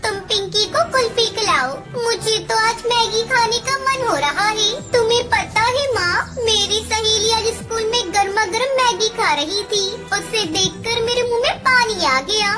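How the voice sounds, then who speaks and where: Young female cartoon bird: squeaky-high, eager, chirpy and emphatic. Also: measured pace, few pauses, pushed and bright, soft background music, exaggerated voice. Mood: pleading. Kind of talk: Hindi children's cartoon acting.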